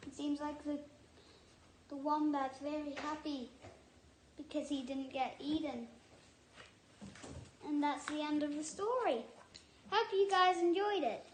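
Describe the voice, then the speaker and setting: A young-sounding female reader doing a children's storybook read-aloud, halting at times, with casual asides. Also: high voice. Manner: halting, repeats words while reading. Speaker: storybook reader and channel host. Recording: echoey room, faint background noise.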